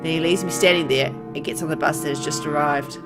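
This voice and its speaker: Low-voiced woman reading a game story aloud. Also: fairly even intonation, brisk, loud music behind the voice. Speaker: gamer reading a visual novel aloud. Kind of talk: let's play narration, reading game text aloud with casual asides.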